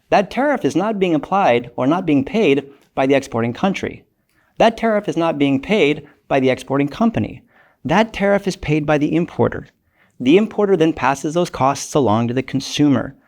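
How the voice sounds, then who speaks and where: An older man, an economics professor, giving a measured, formal, lecture-like explanation. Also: a little high, darkish tone. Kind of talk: prepared explanatory talk.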